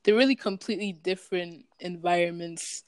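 A young woman, a university student whose first language is German, calmly answering as a podcast guest. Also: fairly low pitch, a little fast, no echo. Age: young adult. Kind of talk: casual interview answers.

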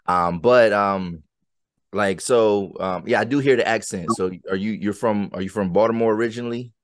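A man's voice: fairly low pitch, moderate pace, dry recording, clean studio sound. A man from central Pennsylvania hosting a casual interview podcast, talking in loose, rambling sentences full of fillers and restarts. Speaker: podcast host.

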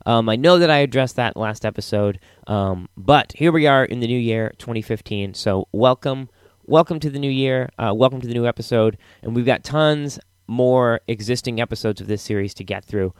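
A man's voice: medium pitch, normal speed, smooth, dry recording. Casual man hosting a podcast, dry sound. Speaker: podcast host.

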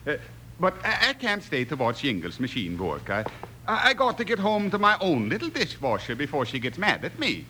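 A man's voice: a little high, wildly swooping pitch, normal speed, sharp tone, full character voice. An actor playing a good-natured, teasing townsman who stammers and repeats his words in a radio western. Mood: amused. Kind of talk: 1950s radio western acting. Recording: noisy old-time radio recording.

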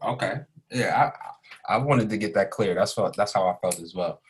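A man's voice: lowish voice, normal speed. A man co-hosting a casual group chat show, asking playful questions and laughing often. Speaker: podcast co-host.

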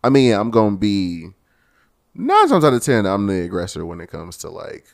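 A man's voice: fairly low pitch, wildly swooping pitch, normal speed, creaky. A man chatting casually in slang with a laid-back manner, laughing often. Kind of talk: casual chat.

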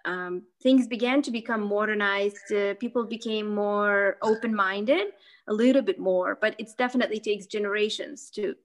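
A woman's voice: medium pitch, steady tone, normal speed. A young woman from Lithuania in a casual interview, talking hesitantly with many fillers and restarts. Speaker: podcast guest.

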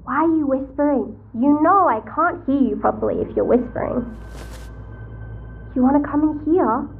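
A woman's voice: sits high, normal speed, phone-quality sound. A woman acting in an audio drama as a chatty, blunt, sheltered young girl, playful and frank. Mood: curious, chatty.

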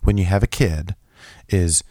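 A young man co-hosting a casual podcast chat, his voice low and a little hoarse from being sick, rambling with lots of restarts and fillers. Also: steady tone, unhurried, mellow, dry recording, clean studio sound. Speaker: podcast co-host.

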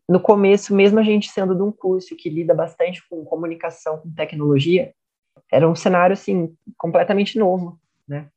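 A woman student recounting her university experience in a measured way, often checking in with tag questions. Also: fairly low pitch, steady tone, dark tone, muffled recording. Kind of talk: testimonial interview.

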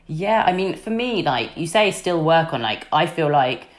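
Deep husky-voiced young woman. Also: lively intonation, moderate pace. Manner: chatty and candid, many fillers like "you know". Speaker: sports news presenter, podcast guest. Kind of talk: casual interview chat.

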